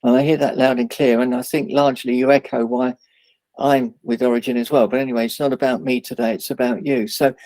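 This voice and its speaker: A man hosting an interview, asking questions in a measured, encouraging way. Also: mid-range voice, level tone, darkish tone, no room sound, low-quality recording. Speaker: interviewer, outreach lead.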